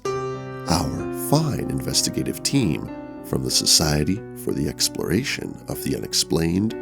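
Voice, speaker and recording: Very deep, gravelly man. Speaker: podcast host and player. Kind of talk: tabletop roleplaying talk and podcast narration.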